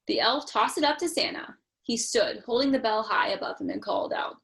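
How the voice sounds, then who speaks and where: Higher-voiced woman reading to young children. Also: a little fast, vocal fry. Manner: expressive, talking directly to young children. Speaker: storytime reader. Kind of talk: children's picture book read-aloud with chatty asides.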